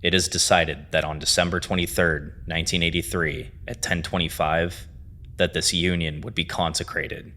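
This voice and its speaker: A man delivers dramatic scripted storytelling narration, phrasing deliberately with weighty pauses. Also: deep voice, level tone, pushed and bright. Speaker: narrator and host of a horror series review.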